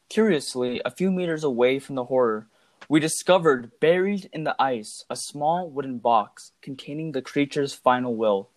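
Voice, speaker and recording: A man's voice: medium pitch, no room sound. A man co-hosting a podcast with formal, scripted narration. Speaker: podcast co-host.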